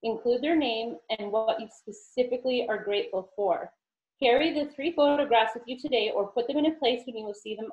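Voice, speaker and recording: A woman speaking as a guest reader in an inspirational group, on a muffled, echoey recording. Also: on the high side.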